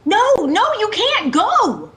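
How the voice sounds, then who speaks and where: A woman's voice: very high-pitched, huge pitch swings, takes it slowly, a bit bright, full character voice. A woman playing a frightened, desperate lady driver in a radio thriller, urgent and dramatic. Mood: desperate pleading. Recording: music behind the voice.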